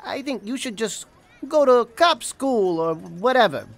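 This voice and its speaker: Woman playing a lively, slangy 1920s wise guy. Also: fairly high pitch, a little slow, creaky. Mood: guarded, avuncular. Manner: slangy, wisecracking, folksy. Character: breezy, streetwise 1920s businessman, guarded but friendly. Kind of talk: 1920s-style radio drama acting.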